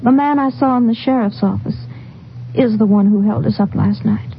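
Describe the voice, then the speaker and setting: A woman's voice: mid-range voice, normal speed, close to an everyday voice. A woman playing a shaken young witness in a 1950s radio drama. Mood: distraught. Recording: muffled old radio recording with hum.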